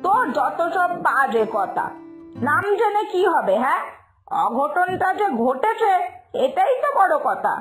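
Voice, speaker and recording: A woman's voice: sits high, big pitch swings, unhurried, fairly bright tone, exaggerated voice. A woman voicing a superstitious, stubborn village mother in a cartoon moral tale, scolding and insistent. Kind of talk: Bengali moral-story cartoon dialogue. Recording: music behind the voice.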